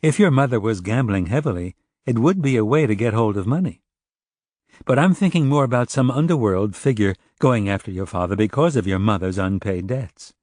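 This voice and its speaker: A man voicing a composed female police sergeant in an audiobook, speaking in a measured, direct way. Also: lowish voice, expressive, darkish tone, dry recording, clean studio sound. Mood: blunt, thoughtful. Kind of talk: audiobook character dialogue.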